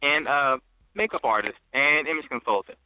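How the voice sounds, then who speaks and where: A man from Mississippi with a notably high voice speaks on a talk panel in a conversational way. It sounds close and dry through a narrow phone line. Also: moderate pace, smooth, no echo. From Mississippi, United States. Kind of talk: casual talk-show panel discussion. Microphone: phone conference call.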